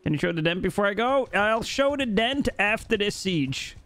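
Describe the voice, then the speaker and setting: A man's voice: high-pitched, animated, normal speed, fairly bright tone, faint music behind. A young man from Norway on a headset, chatting with his live-stream chat while playing, jokey and rambling. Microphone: headset mic.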